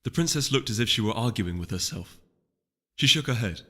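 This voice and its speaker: A man's voice: mid-range voice, animated, fairly quick, bright, forceful tone, long reverb tail. A man reading a story aloud as a scripted, dramatic narration. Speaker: audiobook reader.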